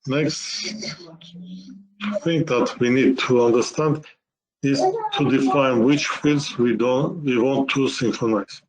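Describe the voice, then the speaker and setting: Male webinar presenter, very unhurried and halting, medium pitch, walking through software steps. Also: fairly even intonation, rough recording. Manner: halting, step-by-step explaining in short phrases.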